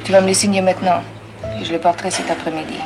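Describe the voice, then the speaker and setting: A woman's voice: fairly low pitch, level tone, rapid-fire, music in the background, natural voice. A woman with as her playing a reserved, curt lover in a film scene. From Paris. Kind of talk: film dialogue.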